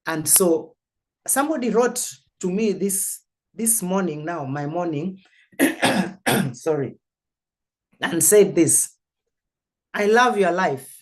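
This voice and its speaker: A woman's voice: fairly low pitch, lively intonation, slow, pauses often. A woman from Mombasa whose first language is Swahili, speaking on a church panel about family. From Mombasa, Kenya.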